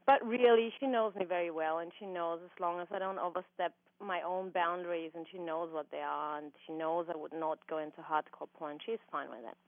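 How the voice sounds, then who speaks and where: A young woman from Austria with an Austrian accent, a giggly interview guest who laughs often and fills pauses with ums. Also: on the low side, steady tone, no room sound. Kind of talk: casual phone interview. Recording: tinny phone line, dry.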